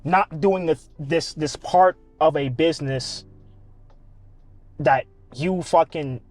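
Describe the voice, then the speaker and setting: A man's voice: on the high side, slow pace, soft background music. A man co-hosting a casual podcast chat, musing aloud with frank, swearing talk.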